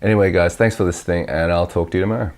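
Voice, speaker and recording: A man's voice: low-pitched, fairly even intonation, very fast, heavy background noise. A young Australian man telling stories in a casual, conversational vlog. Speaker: vlogger.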